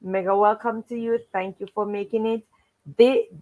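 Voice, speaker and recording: A woman's voice: mid-range voice, fairly even intonation, normal speed, dark tone, low-quality recording. A woman hosting a children's read-aloud livestream, encouraging and fond of repeating stock welcome phrases. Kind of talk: livestream hosting, greeting viewers and recapping stories.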